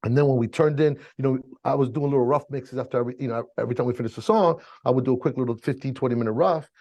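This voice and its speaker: A man's voice: medium pitch, racing. A middle-aged American man being interviewed, telling stories casually in slangy, informal talk on a slightly dull mic. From Miami, raised there, born in Chile. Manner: slangy, informal, frequent "you know" and "bro". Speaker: interview guest, music engineer. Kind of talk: casual storytelling.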